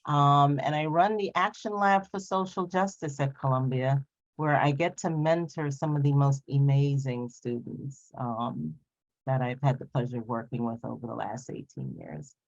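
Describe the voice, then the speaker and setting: Deep-voiced woman, muffled call audio. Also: fairly even intonation, normal speed, creaky. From Guyana. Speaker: social work professor. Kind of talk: casual self-introduction.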